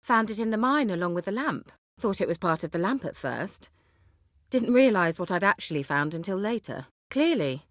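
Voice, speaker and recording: An actress voicing a haughty, witty, sly sorceress in a video game, with an arch, formal and sardonic way of talking. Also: female voice, medium pitch, expressive, normal speed, dry recording, clean studio sound, lightly acted. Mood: playful, arch. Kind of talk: video game character dialogue.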